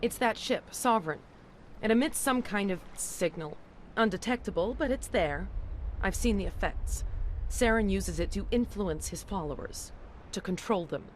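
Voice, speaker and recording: An actress playing an anxious, articulate research scientist looking out for herself in a video game. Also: female voice, mid-range voice, lively intonation, moderate pace, a little hiss or hum, lightly acted, low-quality recording. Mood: uneasy, explaining. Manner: nervous, pleading, articulate. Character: anxious, self-preserving female research scientist. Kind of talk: video game dialogue acting.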